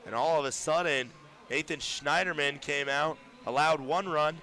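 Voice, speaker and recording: A young man calling baseball play-by-play on radio from a ballpark booth, getting loud and excited on big plays. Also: on the high side, normal speed. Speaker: play-by-play announcer.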